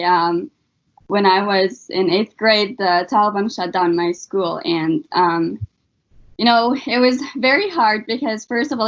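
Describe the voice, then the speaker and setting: A woman's voice: mid-range voice, dull audio. A young woman from Afghanistan, a student, earnestly answering interview questions about her life, with many filler words. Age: young adult. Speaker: student guest. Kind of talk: interview answers, personal story.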